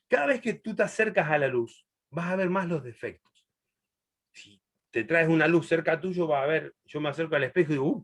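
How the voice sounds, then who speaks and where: A middle-aged man from Chile, raised in Argentina, teaching a Bible class in a chatty, laughing way. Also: high voice. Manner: warm, chatty, laughs often, long pauses. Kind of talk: answering a student in a live class.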